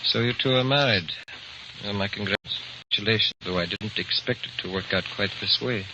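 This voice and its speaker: Low-voiced, grave, composed man, played by an actor. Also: normal speed, lightly acted. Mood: cordial. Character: grave, composed man coolly breaking grim news. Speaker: radio drama actor. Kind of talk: 1930s-40s radio acting. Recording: muffled old radio recording, heavy hiss.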